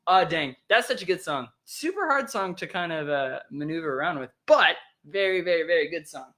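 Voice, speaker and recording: A worship leader chatting casually between songs, rambling with many fillers, voice wearing out from singing. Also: medium pitch. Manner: rambling, relaxed, with many fillers and quick asides.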